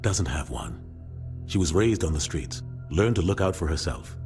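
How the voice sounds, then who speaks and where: A man playing a steadfast middle-aged military officer in a video game, speaking in a firm, measured way. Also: extremely low pitch, big pitch swings, gravelly, a little mellow, soft background music, full character voice. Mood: firm, solemn. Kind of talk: video game character acting.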